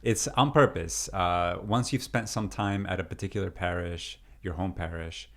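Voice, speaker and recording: A man in his thirties, originally from Peru, talking through his life story as a guest in a relaxed interview, with many fillers and restarts. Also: deep voice, moderate pace. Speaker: deacon and seminarian, interview guest. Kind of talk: casual interview conversation.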